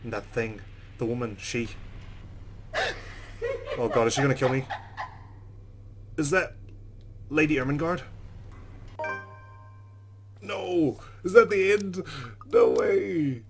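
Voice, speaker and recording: A man's voice: a little high, sing-song, slow, pauses often. A man hosting a let's play, reading visual novel narration dramatically and reacting with exclamations.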